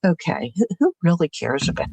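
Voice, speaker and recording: Older woman host, low voice, rambling chatty storyteller, dry close mic. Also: sing-song, fairly quick, vocal fry, darkish tone, slightly rough, no echo. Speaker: livestream host. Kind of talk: casual chat.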